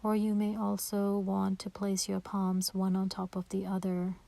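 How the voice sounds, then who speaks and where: Soft, mellow woman guiding meditation. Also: medium pitch, completely flat delivery, gravelly, no echo. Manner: gentle, slow and soothing, with pauses. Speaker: meditation guide. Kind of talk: guided meditation instruction.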